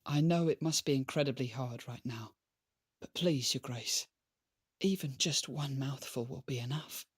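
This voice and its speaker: Casting: frail, husky-voiced young scholar played by a man, sickly, devoted and intense, for game drama. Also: mid-range voice, normal speed, close to an everyday voice. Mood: anxious, pleading. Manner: earnest, formal, intense. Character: ailing, desperate, devoted young male scholar seeking a cure.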